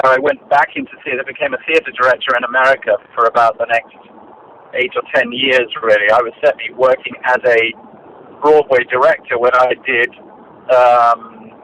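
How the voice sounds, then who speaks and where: An older man, a director answering interview questions in a measured, hesitant way with many fillers and restarts. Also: mid-range voice, pushed and bright. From Britain. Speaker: film and radio drama director, guest. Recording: dull lo-fi archive recording, faint noise.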